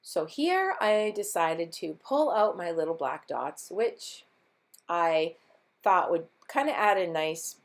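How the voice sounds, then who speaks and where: A woman with a voice she says is going hoarse, chatting casually through a scrapbooking tutorial with lots of ums. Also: on the low side, big pitch swings, slow. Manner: rambling, chatty, many ums. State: losing her voice. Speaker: scrapbooking vlogger. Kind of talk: casual craft tutorial chat.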